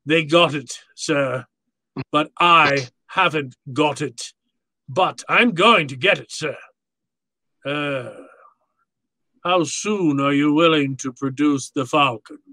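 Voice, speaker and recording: An actor playing a suave, wordy crime boss with a pompous, mock-courtly manner in a 1940s-style radio drama. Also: male voice, a little high, takes it slowly, long pauses, bright, forceful tone, dry recording. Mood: smooth bargaining.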